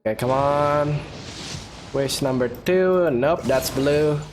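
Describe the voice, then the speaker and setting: A man's voice: on the high side, slow pace, soft background music. A man giving casual commentary as the host of a gameplay video.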